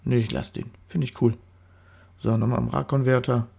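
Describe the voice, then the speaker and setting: A man's voice: mid-range voice, little pitch movement, moderate pace, gravelly, darkish tone, husky. A man with a stuffy nose from a cold, chatting casually through a photo-editing tutorial voice-over, rambling and correcting himself as he goes. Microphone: studio microphone.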